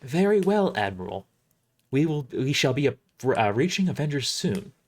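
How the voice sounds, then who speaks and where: A man voicing a cold, haunting godlike warship AI in an audio drama, speaking in a formal, deliberate and menacingly courteous way. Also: medium pitch, big pitch swings. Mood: obedient.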